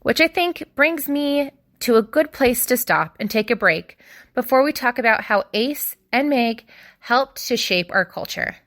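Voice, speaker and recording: A woman playing a history podcast host in a fictional world, giving measured, explanatory scripted narration with opinionated asides. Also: a little high, expressive, fairly bright tone. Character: female history podcast host from an alternate reality.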